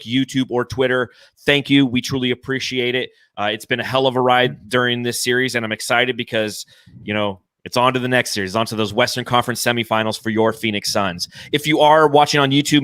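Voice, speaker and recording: A man hosting a sports fan podcast with excited, profane banter, delivered in a boisterous, declamatory way. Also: medium pitch, dry recording. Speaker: podcast host.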